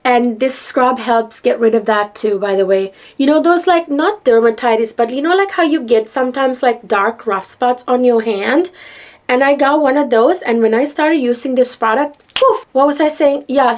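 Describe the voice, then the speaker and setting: A middle-aged woman from Sri Lanka, talking excitedly to camera in a rambling, repetitive way. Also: fairly high pitch. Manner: excitable, rambling, repeats words. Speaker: vlogger. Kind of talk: casual chat to camera.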